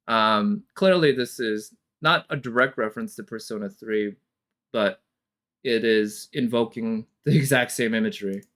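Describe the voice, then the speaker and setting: A man's voice: on the low side, normal speed, fairly bright tone. A man giving casual, off-the-cuff reaction commentary as a streamer, thinking aloud analytically with casual swearing.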